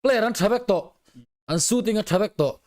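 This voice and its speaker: Mizo man, slightly high voice. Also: fairly quick, darkish tone. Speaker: interview guest, basketball player. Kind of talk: casual chat.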